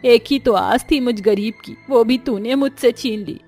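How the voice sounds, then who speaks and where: A woman's voice: fairly high pitch, moderate pace, a little mellow, soft background music. A woman voicing a poor, humble and weary old grandmother who works as a housemaid in a story. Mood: pleading, sorrowful.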